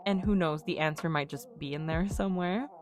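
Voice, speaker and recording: A woman's voice: fairly low pitch, fairly quick, soft background music. A young woman whose first language is French, chatting in a casual, upbeat voice-over about her game build. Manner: chatty, upbeat, self-deprecating. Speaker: YouTuber narrating a game build.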